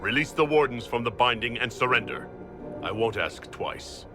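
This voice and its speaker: A man's voice: medium pitch, lively intonation, normal speed, music in the background, lightly acted. Man playing a blunt heroic game commander. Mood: stern. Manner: blunt, challenging questioning. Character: stern heroic male commander confronting villains. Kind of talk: dramatic fantasy video game acting.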